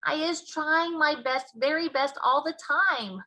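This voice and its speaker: A woman voicing an old, friendly but grand and indignant male giant in a children's storybook, with emphatic drawn-out words and mangled grammar. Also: sits high, bright, forceful tone, voice pushed up. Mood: apologetic, pleading. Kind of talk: children's storybook read-aloud.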